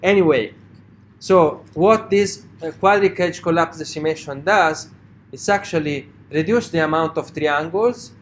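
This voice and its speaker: A man with a strong Italian accent gives a university lecture in a slightly raised voice. His delivery is halting, full of "uh" and "like", and he keeps checking in with "right?". Faint noise hums under the recording. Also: fairly high pitch. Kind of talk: classroom lecture.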